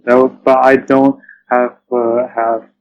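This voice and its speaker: A man answering interview questions as a guest, conversational and halting, often repeating phrases. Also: medium pitch, little pitch movement, lo-fi sound, a little hiss or hum. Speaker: podcast guest, literary translator.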